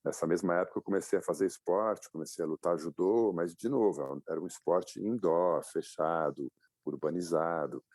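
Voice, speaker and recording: A Brazilian man in his fifties, a guest telling his life story in a relaxed interview, with frequent fillers and restarts. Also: low-pitched, moderate pace, dry recording, studio quality. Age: 50s. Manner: reflective, many fillers and restarts. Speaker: guest, publisher and adventurer.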